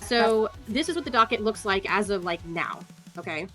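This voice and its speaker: A woman's voice: medium pitch, expressive, moderate pace, pushed and bright, faint music behind. A woman giving casual, sarcastic commentary as she walks through court records, scornful and free with swearing. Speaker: online commentator.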